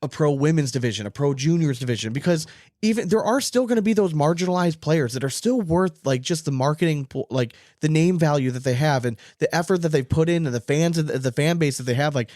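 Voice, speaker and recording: Man, podcast host, raised pitch, emphatic, opinionated, sweary, casual chat, dry recording. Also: fast talker, darkish tone, no echo.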